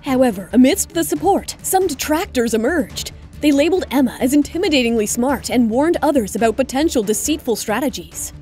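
A woman narrates a scripted audio drama. Her voice is quite high yet mellow and rounded, with light music beneath the storytelling. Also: lively intonation, a little fast, hardly any pauses.

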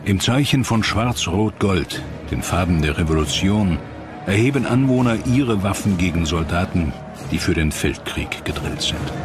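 A man narrating a scripted historical documentary in a measured, dramatic way. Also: lowish voice. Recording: dramatic music behind the voice. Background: music.

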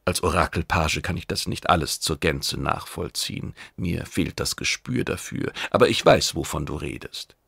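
A man's voice: rumbling low, lively intonation, normal speed, vocal fry, few pauses, a bit bright, breathy edge, no room sound, pitched below the performer's own voice, full character voice. A man voicing a cautious, deferential alien oracle page in a science fiction audiobook, speaking in a subdued, careful way.

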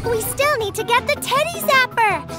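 A woman voicing a cheerful, brave little girl in an animated children's cartoon. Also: very high-pitched, moderate pace. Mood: bold. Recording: loud music bed underneath.

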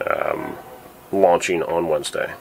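A man in his forties with a low, deep voice, talking casually and relaxed aboard a sailboat. He speaks close to a handheld camera, with a faint hiss of noise behind him. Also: unhurried. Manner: relaxed, explaining plans. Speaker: sailing vlogger. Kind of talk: casual vlog talk. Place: sailboat cabin and cockpit.

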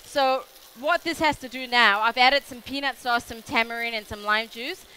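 A woman's voice: fairly high pitch, normal speed, sharp tone, slight background noise. Chatty young Australian woman demonstrating cooking. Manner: chatty, rambling with many fillers. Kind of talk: live cooking demo. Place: food show stage kitchen with audience.

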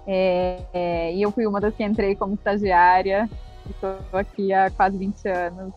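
A Brazilian woman in her forties talks chattily as a podcast guest, often tagging her sentences with quick questions. Her voice sits at a middle pitch. The audio is a bit dull and lo-fi. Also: flat delivery, faint music behind. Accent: Brazilian Portuguese. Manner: chatty, with frequent tag questions. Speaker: company HR head guest. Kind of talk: casual interview talk. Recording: slightly dull lo-fi sound.

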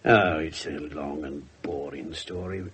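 An English actor playing a blunt, sardonic, wisecracking police inspector in a radio drama. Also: male voice, extremely low pitch, unhurried, fairly bright tone. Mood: wry, wisecracking. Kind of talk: 1970s radio drama acting. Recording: noisy 1970s radio broadcast recording.

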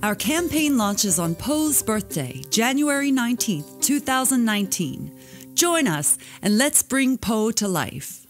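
A woman's voice: on the high side, sing-song, measured pace, faint music behind. A woman reading scripted promotional narration as an announcer. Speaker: podcast announcer.

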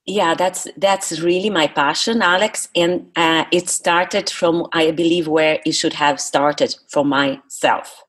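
A woman's voice: low-pitched, steady tone, takes it slowly, hardly any pauses. A Brazilian woman, a native Portuguese speaker, talking earnestly and emphatically as a coach being interviewed. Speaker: guest, public speaking coach. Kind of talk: interview talk.

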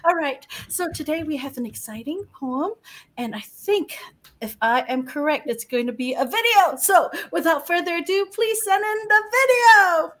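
Chatty, high-voiced woman from Singapore. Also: animated, normal speed, fairly bright tone. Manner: cheerful, chatty. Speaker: co-host. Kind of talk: casual chat. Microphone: headset on video call.

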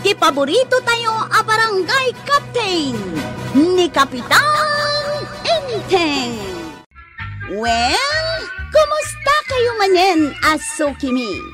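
A woman announcer with an Ilocano accent introduces a radio drama over loud music. Her voice is extremely high and animated, with an unhurried pace. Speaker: show announcer.

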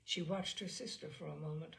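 A woman reading a literary short story aloud as a storyteller, with expressive, measured phrasing. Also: sits low, gravelly. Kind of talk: literary audiobook narration.

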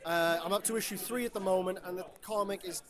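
Comic creator over a crowd. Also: male voice, high voice, fairly even intonation, quick pace. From Wales. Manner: loose, rambling, with fillers and dry jokes. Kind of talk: casual interview at a convention. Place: convention floor. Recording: busy convention crowd behind.

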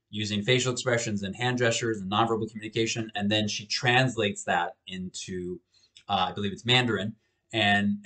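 A man's voice: fairly low pitch, fairly bright tone. A man in his thirties giving a recorded lecture from a small home office on a webcam, talking casually with frequent restarts. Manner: casual and conversational, with frequent restarts and repeated words. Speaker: professor.

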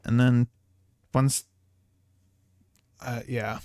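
A man's voice: mid-range voice, level tone, drawn-out words, warm tone, smooth, no room sound, clean studio sound. A man chatting casually to viewers as a game streamer, rambling along and swearing now and then. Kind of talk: casual livestream chat to viewers.

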